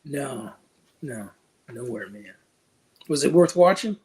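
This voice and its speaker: A man's voice: mid-range voice, lively intonation, slow, a little mellow, poor audio. A man chatting casually as a panelist on a podcast. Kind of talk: casual chat.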